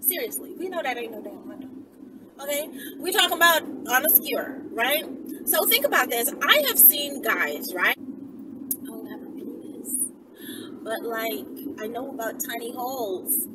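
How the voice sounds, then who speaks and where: A woman chatting casually in a rambling storytime to her followers, animated, slangy and profane, often tagging her sentences with little check-in questions. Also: a little high, a little slow. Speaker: vlogger telling stories to followers. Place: inside a car while driving. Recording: noisy moving car, distant mic.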